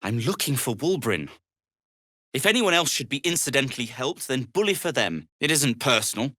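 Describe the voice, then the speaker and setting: An actor playing an earnest, self-deprecating gnome adventurer in a video game, hesitant and wry, with formal, wordy phrasing. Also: male voice, medium pitch, very animated, moderate pace, pushed and bright, dry recording, clean studio sound. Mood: wry, prickly. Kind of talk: video game character dialogue.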